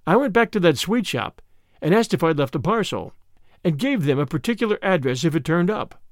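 A man's voice: fairly high pitch, big pitch swings, fairly quick, no room sound, studio quality. A man voicing a small, mild, shrewd country priest in a storybook, speaking simply with a timid, apologetic manner. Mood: unaffected, rueful.

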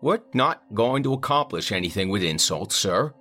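A man's voice: fairly low pitch, expressive, normal speed, bright, forceful tone, faint music behind, pitched above the performer's own voice. A man voicing a harried, middle-aged male manager who keeps up a strained, polite customer-service tone.

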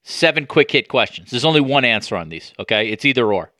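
Bright-voiced man hosting an interview, dry sound. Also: medium pitch, animated, a little fast, dry recording. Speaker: podcast host and interviewer. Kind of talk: casual sports media interview.

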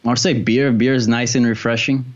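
A man's voice: mid-range voice, level tone, moderate pace. A man co-hosting a fight show, giving opinions in a loose, conversational way peppered with filler phrases, on slightly dull audio. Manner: conversational, peppered with "like" and "you know". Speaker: co-host and MMA pundit. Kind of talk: casual sports talk and opinion.

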